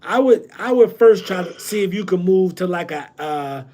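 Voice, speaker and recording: A man's voice: sits high, lively intonation, moderate pace, mellow. A man from New Jersey hosting a loose livestream Q&A on real estate, slangy and repeating himself with many ums. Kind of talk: casual livestream Q&A.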